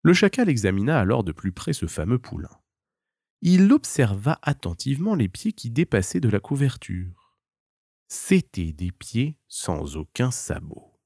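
A man's voice: medium pitch, sing-song, unhurried, vocal fry, darkish tone, no echo, clean studio sound. A man narrating a scripted children's tale as a storyteller.

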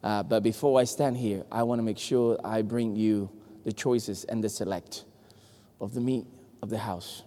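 A man from Puerto Rico, Spanish his first language, with a Puerto Rican accent, preaching an animated, joking sermon to a congregation. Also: fairly low pitch, moderate pace. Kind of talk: lively sermon. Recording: long hall reverb.

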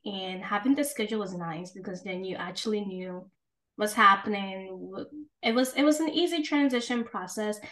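A woman in her twenties co-hosting a podcast, talking candidly in a relaxed chat and laughing often. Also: medium pitch, rough recording. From Ghana and the United States. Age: 20s. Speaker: podcast co-host. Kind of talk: casual chat.

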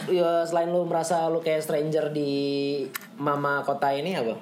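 A man's voice: a little high, little pitch movement, unhurried, darkish tone, slight background noise. Casual man hosting in an echoey room. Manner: casual, slangy, prompting questions. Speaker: podcast host. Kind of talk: casual interview chat. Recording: echoey room, faint music.

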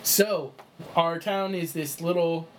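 Man, game master, chatty, fillers and restarts, fairly high voice, faint hiss. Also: steady tone, slow, low-quality recording. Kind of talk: explaining rules in a tabletop game session.

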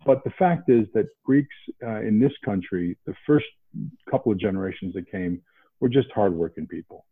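A man from around Boston, a podcast guest, talking in a casual animated way with many restarts. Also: lowish voice, moderate pace, slightly rough, dry recording. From New England, outside Boston. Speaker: podcast guest, investment banker. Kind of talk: casual interview talk. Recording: tinny phone-like line.

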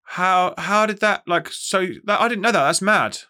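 A man's voice: high-pitched, moderate pace, pushed and bright, slight background noise. A man hosting a music interview, chatting casually with enthusiastic, slangy delivery. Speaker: music show host and interviewer.

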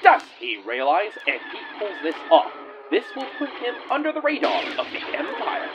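A man's voice: high-pitched, sing-song, moderate pace, highs cut off, background noise. A man playing a dramatic serial narrator, delivering scripted space adventure narration full of suspense and rhetorical questions. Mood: suspenseful.

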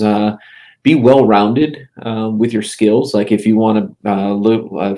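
Man, 50s, game studio head on an interview: gravelly and mellow, pauses to think, lots of "uh" fillers. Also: lowish voice. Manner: halting, full of "uh" and "um" fillers. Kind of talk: casual interview answers.

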